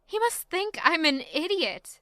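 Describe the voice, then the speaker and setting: A woman's voice: very high voice, very animated, measured pace, sharp tone, dry recording, heavily acted. An actress playing a stubborn, guarded young servant woman in a castle audio drama, curt and sarcastic beneath formal politeness. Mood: irritated, bitter. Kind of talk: audio drama acting with first-person narration.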